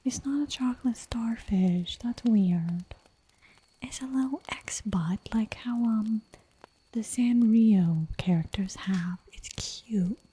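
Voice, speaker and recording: A woman whispering softly for an ASMR livestream, chatting in a giggly, rambling way while she draws at home. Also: on the high side, slow pace, slightly rough, rough recording. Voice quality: soft whisper throughout. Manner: giggly, rambling, playful asides.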